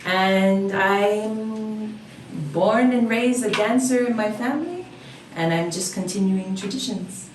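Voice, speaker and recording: A woman's voice: mid-range voice, slow pace, noisy background. A woman from Hawaii who teaches dance, answering interview questions in a friendly, easygoing way with frequent fillers. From Oahu, Hawaii. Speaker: dance instructor, guest. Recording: echoey room, mic at a distance.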